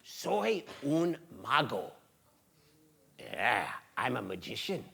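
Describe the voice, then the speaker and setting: A man's voice: mid-range voice, wildly swooping pitch, takes it slowly, creaky, pushed and bright, voice pushed down, heavily acted. A man voicing a kindly, hungry old man who is a magician, solemn and pleading, in English and Spanish. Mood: solemn, grateful.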